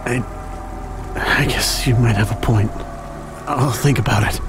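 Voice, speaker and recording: A man playing a dejected, hesitant character in a video game, speaking slowly. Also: mid-range voice, over music, close to an everyday voice.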